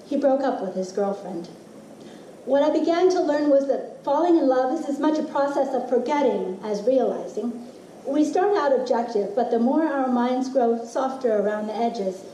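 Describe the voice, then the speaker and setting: A middle-aged woman reads a literary personal essay in a soft-edged, mellow voice that sits a little high. Her delivery is expressive and deliberate, with dramatic pauses. The sound is clearly roomy, picked up from some distance. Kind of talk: literary reading of a personal essay to a live audience. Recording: roomy, distant mic with faint noise.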